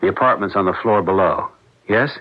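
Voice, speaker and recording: An actor playing a suspicious husband who questions his wife in a measured, accusatory manner, in the style of 1970s radio drama. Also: deep voice. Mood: accusing, controlled. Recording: dull lo-fi 1970s radio broadcast.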